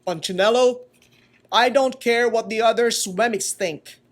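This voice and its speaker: A woman voicing a kindly, loving male wood carver in a children's storybook, speaking slowly, strongly and with emphasis. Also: fairly high pitch. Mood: firm, encouraging. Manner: slow, deliberate, tender.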